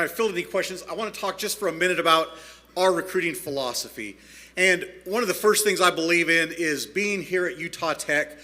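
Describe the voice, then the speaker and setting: A head football coach, a man, earnestly laying out his recruiting plans at a signing-day press conference. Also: on the high side. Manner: earnest, steady, lists goals.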